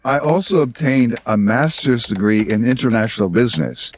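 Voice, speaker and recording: A man in his sixties from South Florida with an American accent, presenting scripted language-learning instruction in a measured, explanatory way. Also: medium pitch, expressive, a little hiss or hum. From South Florida, United States. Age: 60s. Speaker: language-learning video host, polyglot. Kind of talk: scripted instructional narration to camera.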